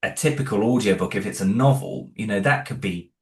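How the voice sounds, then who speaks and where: Low-voiced man, echoey room. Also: brisk. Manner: rambling, many restarts, laughs along. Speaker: audio drama creator interviewed as guest. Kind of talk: casual interview chat.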